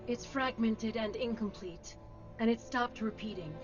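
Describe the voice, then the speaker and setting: An actress playing a composed artificial intelligence assistant in an animated sci-fi drama, with a precise, formal and polite delivery. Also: female voice, fairly high pitch, unhurried, lightly acted. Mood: composed, apologetic. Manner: precise, formal, even-tempered. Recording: dull film audio under loud music.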